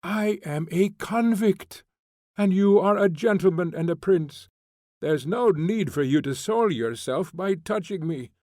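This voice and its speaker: A man's voice: sing-song, slow, darkish tone, no echo, clean studio sound. A male reader voicing a bitter young woman convict who is drunk, furious and mocking. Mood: scornful. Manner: scornful, mocking, shrill.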